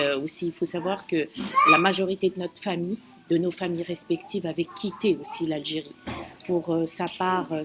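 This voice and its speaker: A woman, answering interview questions in an earnest, hesitant way with many pauses and fillers. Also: fairly low pitch. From Algeria. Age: 50s.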